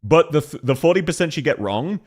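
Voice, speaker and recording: A man's voice: on the high side, lively intonation, fairly quick, a little mellow. A young Australian man chatting casually on a live stream, playful and easily sidetracked.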